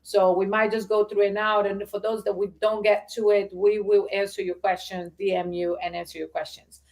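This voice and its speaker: A woman's voice: mid-range voice, level tone, moderate pace, a little mellow. A woman from Argentina hosting a live stream, talking in a chatty way and often restarting her sentences. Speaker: host.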